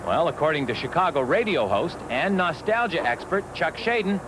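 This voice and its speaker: A man's voice: a little high, big pitch swings, moderate pace, noisy background. A man narrating a TV magazine feature in a playful, wry scripted delivery. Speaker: TV reporter.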